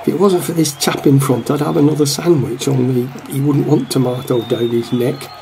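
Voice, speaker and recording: An actor playing a wistful middle-aged football supporter, musing to himself in a radio play. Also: male voice, mid-range voice, fairly even intonation, normal speed, gravelly, dark tone, a little hiss or hum, close to an everyday voice. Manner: reflective, talking to himself.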